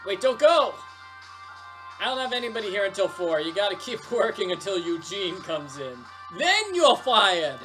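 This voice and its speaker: A woman's voice: medium pitch, animated, normal speed, a bit bright, soft background music, close to an everyday voice. A woman voicing a domineering, scolding male pizza store manager in a story. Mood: frantic, bossy. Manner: domineering, accusing.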